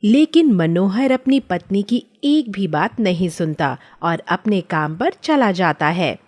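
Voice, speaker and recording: A woman's voice: medium pitch, lively intonation, slow, a little mellow. A woman reading scripted children's story narration.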